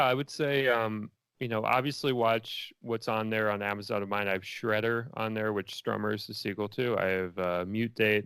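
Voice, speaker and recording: A man's voice: on the low side, gravelly, a bit bright, smooth, no echo. A man chatting casually as an interview guest, informal and buddy-like, often repeating words for emphasis. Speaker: podcast guest, independent filmmaker.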